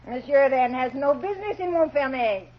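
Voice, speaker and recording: Shrill, spiteful innkeeper's wife, old radio. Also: female voice, animated, moderate pace. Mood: ingratiating. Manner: gushing to guests, scornful and irritable otherwise. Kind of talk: old-time radio drama acting. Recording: muffled vintage radio broadcast.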